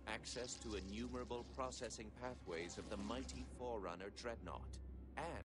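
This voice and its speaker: An actor playing a reverent alien chronicler, narrating sacred history formally in a video game cutscene. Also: male voice, on the low side, normal speed, natural voice. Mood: reverent, stately. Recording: game audio with music underneath.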